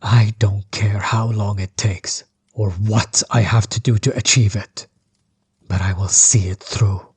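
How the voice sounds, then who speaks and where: Man playing a husky, low-voiced, menacing sorcerer overlord. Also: darkish tone, heavily acted. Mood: commanding, insistent. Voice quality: breathy, husky, often sinking toward a whisper. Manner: measured, condescending, coldly taunting. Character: menacing male sorcerer overlord, bitter former friend. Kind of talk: scripted villain monologue, audio roleplay.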